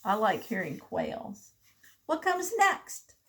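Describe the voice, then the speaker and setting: Very slow, high-voiced woman teaching children. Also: very animated, very noisy. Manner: simple, deliberate phrasing with sing-song recitation and questions to children. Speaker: preschool teacher. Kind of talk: children's alphabet read-aloud lesson.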